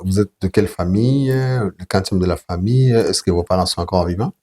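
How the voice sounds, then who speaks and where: A man with a Congolese French accent hosting a talk show interview in a halting, deliberate way. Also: low-pitched, mellow. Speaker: TV show host.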